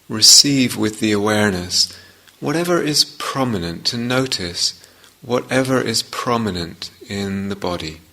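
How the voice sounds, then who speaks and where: A man leading a guided meditation as a teacher, speaking gently and in measured short phrases with long pauses. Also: fairly low pitch, gravelly.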